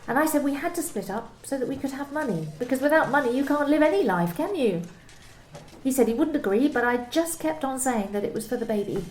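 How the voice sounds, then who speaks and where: A timid young pregnant office worker, as a woman plays her, meek and hesitant. Her fairly high voice rises and falls a lot. It is recorded in a roomy home space with a faint hum behind it. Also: darkish tone, lightly acted. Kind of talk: amateur radio play acting. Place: home during lockdown.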